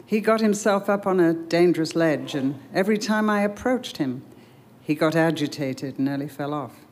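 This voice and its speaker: A woman voicing a tender, patient male healer who speaks quietly and gently. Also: low voice, expressive, moderate pace, a little hiss or hum. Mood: gentle.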